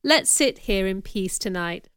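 Woman playing an ardent, commanding gentleman, slow-paced. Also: mid-range voice, animated, smooth, no echo, studio quality. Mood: calm, composed. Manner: earnest, commanding, emphatic. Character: Mister Rochester, commanding, ardent gentleman in love.

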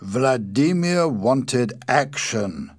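A male reader acts a weary, guilty husband in an audiobook. His voice is husky and resigned, tired in manner, though the intonation still moves around. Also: mid-range voice, lively intonation, very slow, pitched above the performer's own voice. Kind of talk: audiobook character dialogue.

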